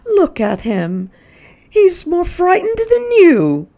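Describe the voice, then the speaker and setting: A woman's voice: extremely high pitch, wildly swooping pitch, slow pace, creaky, warm tone, pitched above the performer's own voice, full character voice. A woman voicing a kindly, fussy mother and hostess in a storybook, polite and exclamatory in a sing-song way. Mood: alarmed, reassuring.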